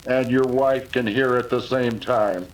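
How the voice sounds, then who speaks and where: A steady, commanding western hero played by a man in a vintage radio play. He speaks slowly and formally at a medium pitch, over faint noise. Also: natural voice, low-quality recording. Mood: calm, commanding. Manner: formal, deliberate. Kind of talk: 1930s western radio acting.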